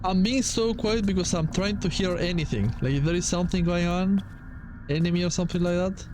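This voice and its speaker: A man's voice: high-pitched, brisk, noisy background. A man giving casual let's play commentary on a video game, muttering reactions and the odd curse as he goes. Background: game music.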